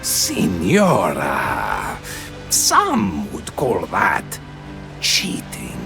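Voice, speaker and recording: Sly, wildly swooping jester. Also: male voice, deep voice, wildly swooping pitch, vocal fry, music in the background, full character voice. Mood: sly, teasing. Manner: teasing, drawn-out, swooping delivery. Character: sly, theatrical jester spirit, teasing and courtly.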